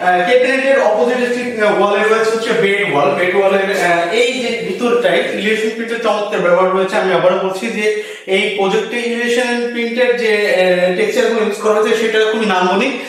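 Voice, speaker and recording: Bangladeshi guy showing round an apartment, really echoey and far from mic, halting delivery. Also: high-pitched, fairly even intonation, a bit bright. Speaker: interior design company presenter. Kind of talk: property walkthrough narration. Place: indoors, bare apartment rooms. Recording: echoey rooms, distant mic, background music.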